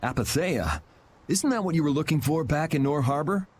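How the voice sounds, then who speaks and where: A man's voice: fairly high pitch, lively intonation, normal speed, slight background noise, lightly acted. A man voicing a cool, wry, laid-back young adventurer in a video game dub. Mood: curious.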